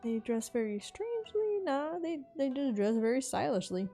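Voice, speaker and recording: Mellow, high-voiced woman streamer. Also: big pitch swings, normal speed, faint music behind. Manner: slangy, joking asides. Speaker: game streamer. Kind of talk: casual playthrough commentary, reading player lines aloud.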